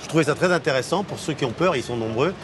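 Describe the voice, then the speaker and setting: Male host, slightly high voice, laid-back, audible outdoor traffic. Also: animated, quick pace. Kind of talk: talk show interview. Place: outdoors at a café terrace.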